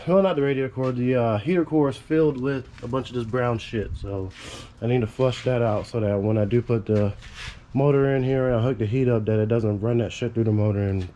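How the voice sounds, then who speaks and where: A man's voice: mid-range voice, fairly quick, warm tone, dull audio, slight background noise. Congested laid-back man in a garage. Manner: laid-back, rambling, casual swearing. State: congested from a head cold. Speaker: car builder. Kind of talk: casual build vlog.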